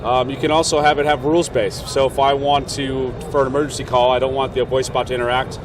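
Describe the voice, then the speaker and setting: A man with a medium-pitched voice walks through a product as a company representative. He explains things step by step in an informal, conversational sales style. The recording has clear noise from a busy trade show floor. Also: level tone, fast talker. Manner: conversational, checks in with "right?". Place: trade show exhibition hall.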